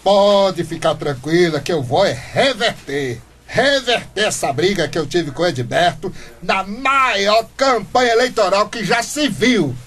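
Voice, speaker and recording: A man's voice: sits high, lively intonation, unhurried, fairly bright tone, husky, exaggerated voice. An actor playing a stubborn, indignant small-town man with grandiose political dreams in a Brazilian comic radio soap, blustering theatrically. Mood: boastful.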